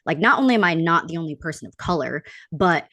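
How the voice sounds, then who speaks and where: Low-voiced young American woman, casual and candid. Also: animated, quick pace, a bit bright, no echo. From upstate New York, United States. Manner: informal, candid, frequent use of "like". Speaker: podcast guest. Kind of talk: casual interview chat.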